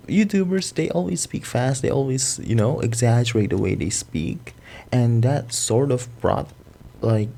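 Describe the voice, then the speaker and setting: A young man from Indonesia who speaks with an American accent, improvising to camera in a quick, rambling and profane way. His voice is mellow and gravelly, with a husky edge, and his pitch swings about as he talks. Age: young adult. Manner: fast, animated, swearing, rambling. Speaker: vlogger.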